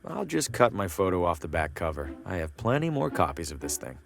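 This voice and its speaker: A man's voice: sits low, big pitch swings, a little fast, gravelly, faint music behind, heavily acted. A man playing a wry, down-to-earth traveling salesman who thinks aloud in a dry, conversational way. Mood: wry, casual. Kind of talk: video game character acting, thinking aloud.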